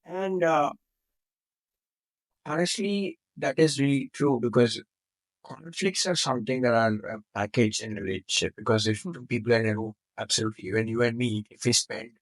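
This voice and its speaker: A man in his twenties hosting a podcast, talking loudly and haltingly with frequent restarts. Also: mid-range voice, dry recording, poor audio. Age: 20s. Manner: halting, restarts often, loud speaker. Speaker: podcast host. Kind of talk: podcast interview chat.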